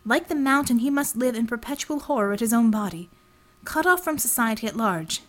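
A woman's voice: on the high side, animated, a little fast. A woman reading scripted fiction as an audiobook narrator.

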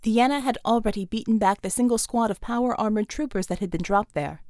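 A woman's voice: on the high side, fairly quick, darkish tone, no room sound, clean studio sound. A woman reading dramatic action fiction as a scripted audiobook narration.

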